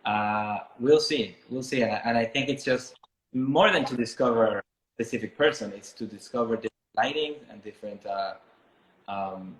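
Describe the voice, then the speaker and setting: Low-voiced, halting man in an echoey room. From Miami, United States, born in Ecuador. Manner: halting, thoughtful, searching for words. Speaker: interviewed photographer and artist. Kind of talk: casual reflective interview talk.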